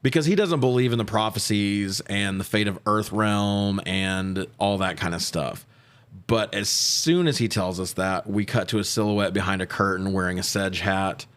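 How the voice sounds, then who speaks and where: A man's voice: on the low side, moderate pace, a bit bright. A man co-hosting a casual movie recap chat, slangy and sweary, acting out quoted lines as he tells the story. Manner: slangy, profane. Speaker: podcast co-host.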